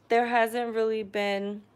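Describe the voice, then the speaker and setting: Slow-talking chatty young woman. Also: on the high side, flat delivery. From New York. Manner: chatty, hesitant, frequent ums and laughs. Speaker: vlogger reading live chat comments. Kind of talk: casual live stream chat. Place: her living room. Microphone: camera on a tripod.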